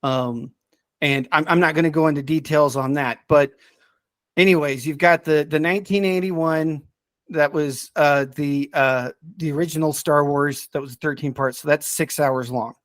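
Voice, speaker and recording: A man hosting a casual podcast, talking enthusiastically but haltingly as he searches for names and details. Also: a little high, steady tone, fairly bright tone, no echo, studio quality. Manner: enthusiastic about the subject. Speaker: podcast host.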